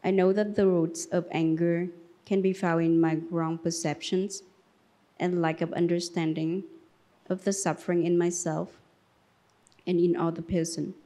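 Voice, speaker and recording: A woman's voice: lowish voice, little pitch movement, a little slow, dark tone. A woman, speaking to a Buddhist community gathering. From Vietnam, later France. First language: Vietnamese. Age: 30s. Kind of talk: reading aloud and personal sharing.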